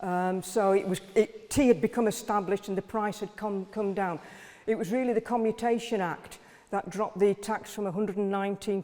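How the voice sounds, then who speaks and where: A woman historian giving a public lecture in a measured, list-like way with occasional fillers. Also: mid-range voice, warm tone, in a large hall.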